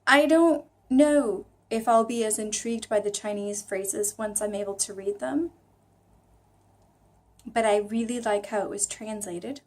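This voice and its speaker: A woman's voice: medium pitch, darkish tone. A woman hosting a casual vlog, chatting reflectively in a hesitant, musing way as she thinks aloud. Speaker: vlog host. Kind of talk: casual reflective chat about reading.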